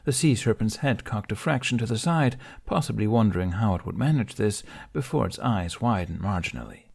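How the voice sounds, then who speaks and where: A man's voice: fairly low pitch, expressive, fairly quick, vocal fry, a little mellow, breathy edge. A man narrating a scripted fantasy audiobook in a dramatic storytelling style.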